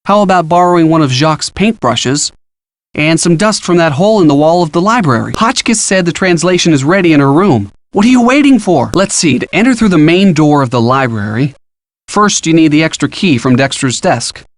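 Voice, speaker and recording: Helpful young friend, video game character, slightly high voice, earnest coaching, encouraging, dry studio sound. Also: male voice, animated, brisk, dry recording. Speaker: hint-giving game character. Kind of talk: scripted video game voice acting.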